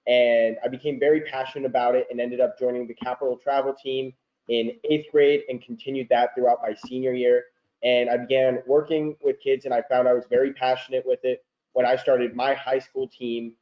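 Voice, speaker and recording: A man's voice: mid-range voice, reverberant. Young American man, slightly muffled audio. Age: young adult. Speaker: debate coach. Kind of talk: informal thank-you speech.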